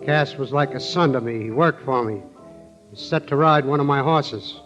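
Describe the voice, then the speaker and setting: An actor playing a guarded older American horse trainer, speaking tersely in 1940s radio style. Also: male voice, medium pitch, moderate pace, fairly bright tone, close to an everyday voice. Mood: defensive, curt. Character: guarded, gruff older horse trainer, gentle-faced man. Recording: dull vintage radio recording, faint music.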